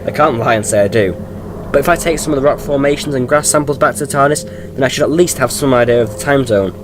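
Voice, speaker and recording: A man's voice: medium pitch, fast, noisy background, natural voice. A man playing a jaunty, impatient, eccentric time-travelling adventurer in a sci-fi audio drama. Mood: upbeat.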